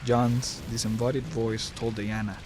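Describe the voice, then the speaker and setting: A man's voice: mid-range voice, little pitch movement, unhurried, a little mellow, breathy edge. A man delivering scripted, dramatic narration for a horror audio drama, close on the mic.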